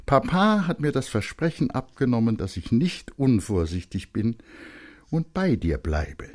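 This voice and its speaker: A man's voice: medium pitch, sing-song, unhurried, darkish tone, pitched above the performer's own voice. A man voicing a stubborn, defiant young boy who talks coolly, insistently and commandingly to adults in an audiobook story. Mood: cool defiance. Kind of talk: dramatic audiobook character reading.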